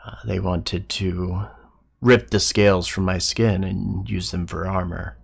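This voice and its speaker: A man voicing a slow, gravelly bronze dragon. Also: low-pitched, fairly even intonation, heavily acted. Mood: cold. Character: proud weary male bronze dragon.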